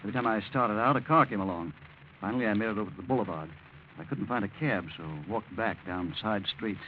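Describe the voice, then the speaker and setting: A man's voice: on the low side, fast, close to an everyday voice. Through a dull, hissy old broadcast comes an actor as a cool-headed man in a vintage radio thriller. He speaks in clipped, slangy phrases and takes command like someone used to a crisis. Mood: weary, reassuring. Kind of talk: 1940s radio drama acting. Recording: dull, noisy old-time radio recording.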